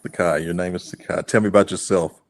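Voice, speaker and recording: Low-voiced relaxed male podcast host. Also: quick pace. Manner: relaxed, joking, directive. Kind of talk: casual interview questions.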